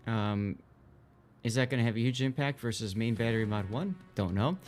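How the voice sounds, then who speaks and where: A male esports commentator talks through a live game in a casual, analytical style. His voice sits at an average pitch for a man. Soft music plays underneath as he explains the play. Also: animated, normal speed.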